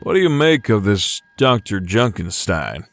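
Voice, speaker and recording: A man's voice: on the low side, sing-song, measured pace, soft background music. A man voicing a laid-back outlaw gunslinger with a dry, teasing manner in a fantasy drama. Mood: wry, casual.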